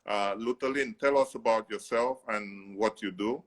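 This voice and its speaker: A man's voice: fairly low pitch, moderate pace. A man hosting a live video call interview, speaking hesitantly with frequent fillers. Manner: hesitant, many "uh" fillers, warm praise. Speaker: host.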